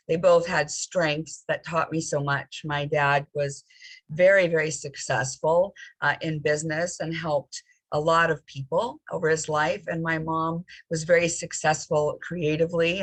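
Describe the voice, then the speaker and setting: A woman's voice: sits low, fairly bright tone, dry recording, low-quality recording. A woman guest speaking earnestly in a casual, reflective chat. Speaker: guest author.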